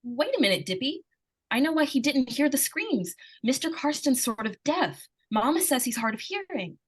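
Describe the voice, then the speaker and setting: A woman's voice: sits high, very animated, normal speed, breathy edge, full character voice. A woman playing a plucky, anxious, insistent little girl in an old-time radio suspense play.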